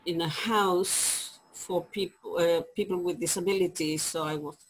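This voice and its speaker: A woman's voice: fairly low pitch, fairly even intonation, moderate pace, breathy edge, rough recording. A woman in her fifties with a Chilean Spanish accent, a publisher telling her story in an interview over a video call, hesitant with many ums and restarts. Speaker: guest, publisher. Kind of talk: conversational interview. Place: home study with bookshelves.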